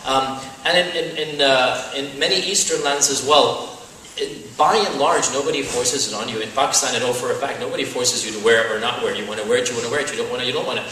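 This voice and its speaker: A man's voice: mid-range voice, fast talker, sharp tone, far from the mic, slight background noise. An American man answering audience questions in a lecture-style Q&A, talking conversationally with frequent fillers and tag questions. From United States. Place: large echoey hall. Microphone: distant from the mic. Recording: echoey hall, speaker off-mic.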